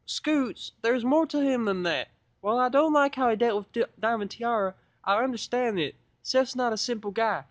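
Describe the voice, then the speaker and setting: A man's voice: very high voice, moderate pace, dry recording, pitched above the performer's own voice. A man voicing an eager, earnest young female pony with folksy country phrasing in an audio fan-fiction reading. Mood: pleading.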